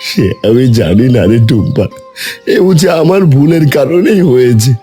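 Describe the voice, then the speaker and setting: A man's voice: medium pitch, sing-song, normal speed, mellow, soft background music, lightly acted. A man voicing a middle-aged village hotel owner in a cartoon, stammering and lamenting his ruin.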